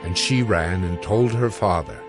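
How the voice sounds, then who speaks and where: Male scripture narrator over faint music. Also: deep voice. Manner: measured, formal.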